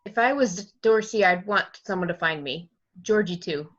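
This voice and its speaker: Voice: medium pitch, moderate pace, fairly bright tone, lightly acted. Reader playing a stuttering, earnest boy. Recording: dull lo-fi with faint noise.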